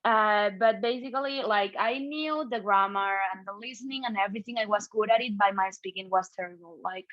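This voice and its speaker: A woman from Catalonia with a Spanish accent, speaking English as a podcast guest in a lively, quick, easygoing way. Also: medium pitch, dry recording, dull audio. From Catalonia, Spain. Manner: lively, quick bursts, laughs easily. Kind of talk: casual conversation.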